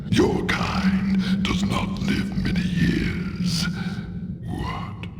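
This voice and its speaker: An actor playing an ancient, arrogant monster lord in a video game, pompous and condescending, with a gravelly rumbling voice. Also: male voice, drawn-out words. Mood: mocking. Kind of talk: video game villain acting. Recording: roomy echo under loud noise.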